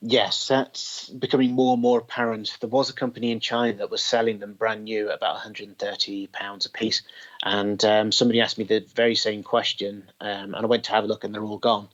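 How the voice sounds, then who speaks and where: Low, even-toned man, dull recording. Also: fairly quick. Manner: detailed, technical explaining. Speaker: podcast guest, tape machine collector. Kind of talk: conversational interview. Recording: dull, lo-fi sound.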